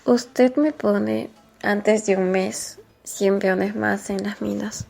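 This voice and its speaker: Woman playing a slow, gravelly, stern mine boss. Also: medium pitch, steady tone, darkish tone, soft background music. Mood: stern, insistent. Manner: curt, commanding. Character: stern, implacable male American mine boss. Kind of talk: amateur radionovela acting.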